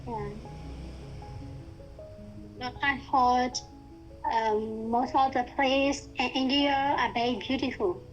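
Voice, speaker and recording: Hesitant high-voiced Thai woman. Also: fairly even intonation, takes it slowly, long pauses, highs cut off, soft background music. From Thailand. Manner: hesitant, searching for words with many fillers. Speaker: guest, university student. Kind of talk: casual language-practice chat.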